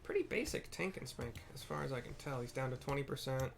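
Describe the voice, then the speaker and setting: Male gamer, medium-pitched voice, easygoing and informal, describing the action as he plays. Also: fast, gravelly. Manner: laid-back, descriptive, informal. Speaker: gamer narrating a playthrough. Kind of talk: casual gameplay commentary. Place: indoors at a computer.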